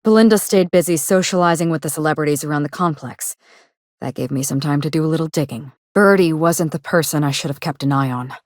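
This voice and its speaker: A woman playing a guarded, world-weary actress in a video game, speaking in a measured, dry, wry way. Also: low voice, darkish tone, no echo, close to an everyday voice. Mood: somber, reflective. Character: guarded, world-weary actress investigating her sister's murder. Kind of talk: scripted game dialogue.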